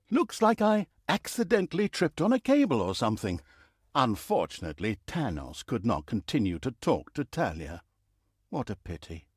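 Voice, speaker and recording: An actor playing a wry, theatrical and easily exasperated narrator of a fantasy video game, telling the story with mock grandeur. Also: male voice, mid-range voice, darkish tone, husky, no echo, studio quality, exaggerated voice. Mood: sardonic aside. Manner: sardonic, mock-grandiose, breaking the fourth wall. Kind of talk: scripted comic fantasy storytelling.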